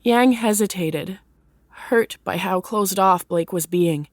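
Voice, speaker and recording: A woman narrates a story from a script with an expressive storyteller's delivery. Her pitch is mid-range, and the sound is very dry, free of any room. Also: slow pace, no echo.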